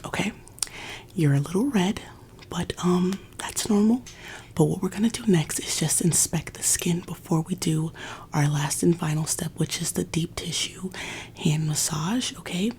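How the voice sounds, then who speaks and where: A woman's voice: fairly low pitch, moderate pace, darkish tone, slight background noise. A woman whispering breathily and reassuringly in an ASMR roleplay as a massage therapist, close to a studio microphone. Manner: soothing, reassuring. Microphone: close studio condenser microphone.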